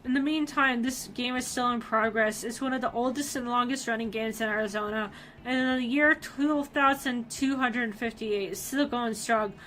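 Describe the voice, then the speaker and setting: A young woman streamer reading a story aloud on her live stream, animated and excitable, often restarting her sentences. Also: fairly high pitch, normal speed, slight background noise, poor audio.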